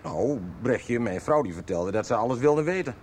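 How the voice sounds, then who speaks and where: Man acting a bossy, animated gruff crook. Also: medium pitch, normal speed, lightly acted. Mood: wary. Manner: stern, commanding. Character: bossy, gruff male crook threatening a nervous accomplice. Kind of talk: 1990s Dutch radio soap acting. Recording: faint background noise.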